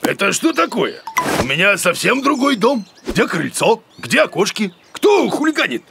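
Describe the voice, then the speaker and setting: A man's voice: high-pitched, sing-song, normal speed, gravelly, noisy background, heavily acted. A man voicing a goofy, boastful bearded cartoon creature, a childish mama's boy who blusters, mutters and stammers. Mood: boastful, indignant.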